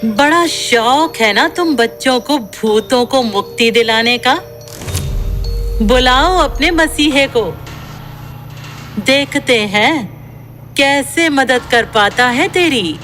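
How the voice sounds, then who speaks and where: A woman voices a theatrical female ghost from a horror audio drama, high and slow, with a Rajasthani accent. Faint music plays under her drawn-out lines. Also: animated, a bit bright, full character voice. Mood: taunting menace. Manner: theatrical, slow, taunting or pleading. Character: vengeful Rajasthani ghost woman, once a frightened bride.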